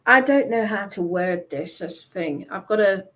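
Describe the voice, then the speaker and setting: A woman chatting casually in a hesitant, halting manner. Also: mid-range voice, expressive, fairly bright tone. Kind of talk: casual chat.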